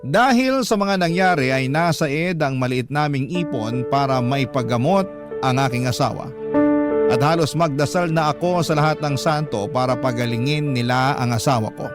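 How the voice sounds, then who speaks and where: A man calmly reading a listener's letter aloud in first person as a radio storyteller. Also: medium pitch, moderate pace. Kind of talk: scripted radio narration. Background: background music.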